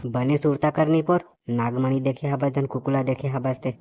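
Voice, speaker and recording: A man's voice: medium pitch, fairly even intonation, moderate pace, warm tone, no echo, natural voice. A man voicing a weary, grumbling male cartoon character in comic dialogue, complaining sarcastically with a Chhattisgarhi accent. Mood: sarcastic complaining. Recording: dull lo-fi audio, faint noise.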